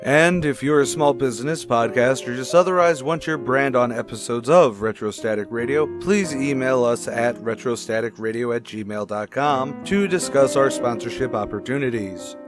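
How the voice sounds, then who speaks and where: A man's voice: medium pitch, moderate pace, hardly any pauses, music in the background. A man reading a scripted outro announcement as a podcast announcer.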